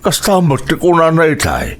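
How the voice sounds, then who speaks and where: A chatty older husband , voiced by a man, speaks with an Ilocano accent. His voice is fairly high and swings widely in pitch. He talks like someone telling neighborhood news. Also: huge pitch swings, moderate pace. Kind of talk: radio drama acting.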